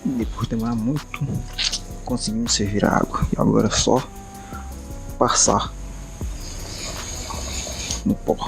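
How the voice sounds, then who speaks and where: A man's voice: on the low side, fairly even intonation, unhurried, gravelly, long pauses, over music, low-quality recording. A young man with a Brazilian accent, chatting in a slangy, laid-back, joking way in a home vlog. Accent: Brazilian Portuguese. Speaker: vlogger. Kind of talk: casual home vlog chat.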